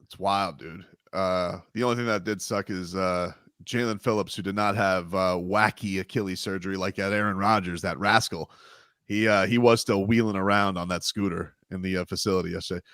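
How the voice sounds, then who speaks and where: A man's voice: low-pitched, steady tone, a little fast, a bit bright, dry recording, studio quality. A man hosting sports talk radio, rambling casually with lots of fillers and laughs. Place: radio studio. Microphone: studio mic.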